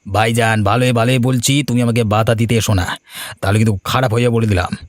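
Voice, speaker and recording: A man's voice: mid-range voice, fairly even intonation, quick pace, no echo, close to an everyday voice. A man voicing a greedy, menacing crook in a cartoon, with a taunting, threatening manner. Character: greedy, menacing male crook, a defiant younger brother. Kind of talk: cartoon voice acting.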